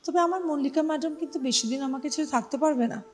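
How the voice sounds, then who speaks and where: A woman's voice: high-pitched, fast, close to an everyday voice. Woman voicing a fussy, dramatic talking pink garment. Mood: proud, curious. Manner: dramatic, indignant, peppers talk with English words.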